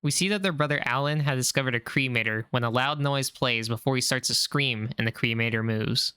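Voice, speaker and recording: A man calmly narrating a scripted recap of a horror video series in a measured, explanatory way. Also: mid-range voice, clean tone, no room sound.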